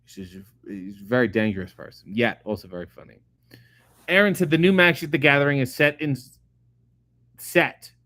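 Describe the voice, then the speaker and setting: A middle-aged man, a comedian host, reading viewer comments aloud and answering them in a casual, profane, deadpan way. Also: medium pitch, normal speed, no room sound. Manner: profane, sardonic, deadpan asides. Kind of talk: casual chat answering live chat questions.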